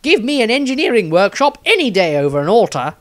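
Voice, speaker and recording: Fantasy audiobook role: disdainful engineer, high, loud, grumbling and impatient. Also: male voice, sing-song, fast. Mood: impatient, irritated. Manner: emphatic, grumbling. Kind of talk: dramatised fantasy audiobook diary.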